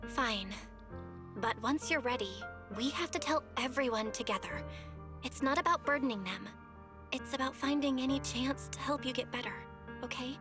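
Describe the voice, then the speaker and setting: A woman's voice: sits high, normal speed, music in the background, close to an everyday voice. A woman voicing a concerned, loyal young friend in an anime video game, speaking firmly and earnestly. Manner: earnest, insistent.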